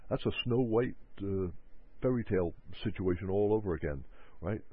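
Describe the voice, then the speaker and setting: A man's voice: on the low side, moderate pace, husky, no room sound. A man hosting a motivational self-help talk on the radio. He repeats his points and acts out people's worried inner voices. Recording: narrow phone-like radio audio.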